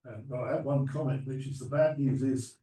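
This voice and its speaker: A man in his sixties speaking as a panelist, measured and persuasive. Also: medium pitch, mellow. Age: 60s. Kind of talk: panel discussion. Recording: roomy hall, mic at a distance.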